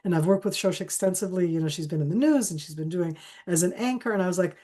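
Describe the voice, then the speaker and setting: A woman's voice: fairly low pitch, fast, dark tone. Woman with a cold, emphatic and repetitive. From Israel. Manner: emphatic, repeats key phrases, frequent restarts. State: has a cold. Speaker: guest speaker on a webinar panel. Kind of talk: conversational analysis in an online Q&A.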